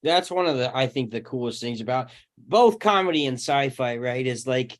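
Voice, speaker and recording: A man in a casual discussion, working through his ideas in a thoughtful, halting way and checking in with listeners. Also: medium pitch, animated. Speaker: panel participant.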